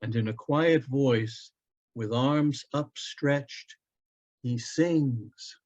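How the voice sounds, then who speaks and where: A man narrating a literary story aloud in a deliberate, tender manner, as a storyteller reading from a written piece. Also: medium pitch, darkish tone. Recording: dull, lo-fi online event audio.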